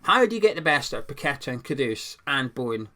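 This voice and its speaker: A young man with a croaky voice, giving his football opinions in a casual, conversational monologue with some restarts as a channel host. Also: mid-range voice, big pitch swings, fast, bright, forceful tone.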